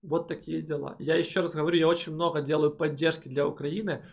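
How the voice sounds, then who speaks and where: A young man from Ukraine talks casually into a headset, running through viewer comments and his trades. His voice is gravelly and a little husky, pitched somewhat high for a man, and he speaks in animated, emphatic bursts with plenty of hesitation sounds. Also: fast. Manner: animated, emphatic, with frequent filler "э". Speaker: crypto channel host.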